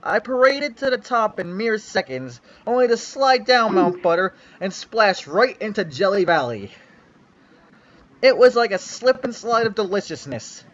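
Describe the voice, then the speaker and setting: A man's voice: high voice, big pitch swings, measured pace, a bit bright, lo-fi sound. A young man giving a mock-dramatic reading of a fanfic on a livestream, with a theatrical, sweeping storyteller delivery. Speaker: reader on a livestream.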